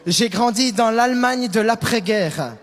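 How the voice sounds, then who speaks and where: A man's voice: very high-pitched, measured pace. A man interpreting a sermon phrase by phrase, mirroring the preacher with emphatic delivery. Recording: large reverberant hall sound.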